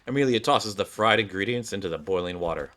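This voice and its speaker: Male game streamer, casual, reads script aloud and comments, mid pitch, faint music. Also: expressive, fast, fairly bright tone.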